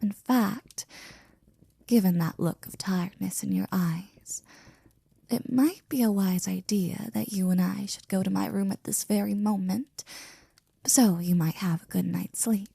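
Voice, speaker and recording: A woman playing a devoted, flirty and jealous maid in an intimate ASMR roleplay, speaking softly and close to a whisper with teasing, courtly wording. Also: medium pitch, steady tone, measured pace, no echo. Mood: coaxing, tender. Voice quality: soft, breathy, often near whispering. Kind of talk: ASMR roleplay, intimate one-sided scene.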